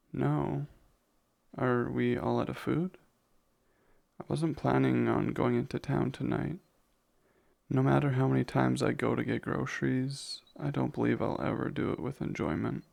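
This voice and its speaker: A man acting as kind male vampire, very creaky voice, husky, tender, formal, soothing ASMR roleplay. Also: medium pitch, a little mellow. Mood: gentle, attentive. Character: gentle, protective male vampire caretaker.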